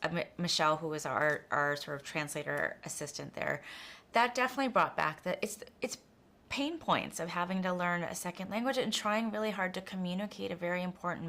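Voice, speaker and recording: A woman's voice: lowish voice, fairly bright tone. A woman director from the United States answering interview questions thoughtfully, often restarting her sentences. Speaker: documentary director.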